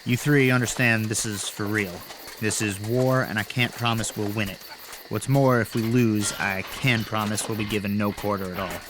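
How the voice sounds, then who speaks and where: A man playing a blunt, weary older army general in a fantasy audio drama, with a gruff, commanding manner. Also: fairly low pitch, fairly even intonation, moderate pace, noisy background, natural voice. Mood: grim, commanding.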